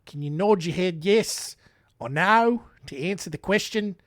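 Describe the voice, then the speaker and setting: A man playing a condescending male court official who talks down slowly and sarcastically. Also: high-pitched, animated, a bit bright, dry recording, clean studio sound. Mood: mocking.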